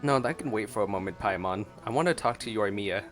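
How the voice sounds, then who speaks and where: Gaming streamer, very deep pitch, reading lines and reacting briefly over game music. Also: expressive, a little fast.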